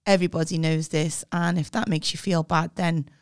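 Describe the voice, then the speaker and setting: A woman, slightly croaky, co-hosting a podcast and talking in a cheeky, outspoken way. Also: low voice, steady tone, a little fast, no room sound, clean studio sound. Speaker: podcast co-host. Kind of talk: casual comic chat.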